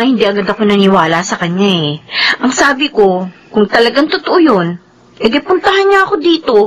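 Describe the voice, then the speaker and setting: A woman's voice: mid-range voice, a bit bright, slight background noise, lightly acted, poor audio. An actress playing an earnest, wounded young pregnant woman in a radio drama, speaking politely and deferentially. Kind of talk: Filipino radio drama acting.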